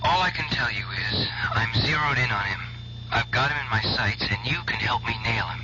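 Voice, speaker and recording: An actor in a radio drama playing a defiant, grieving young nightclub performer obsessed with revenge, intense and insistent. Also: male voice, mid-range voice, expressive, a little fast, natural voice. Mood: urgent pleading. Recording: dull, noisy old radio recording.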